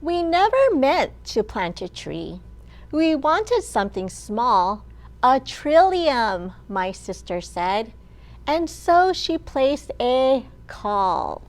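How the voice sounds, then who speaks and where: A woman with a high voice leads a storytime for young children as their librarian. She reads very slowly, in simple and deliberate phrases that small listeners can follow. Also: big pitch swings. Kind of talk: children's storytime with singing and read-aloud.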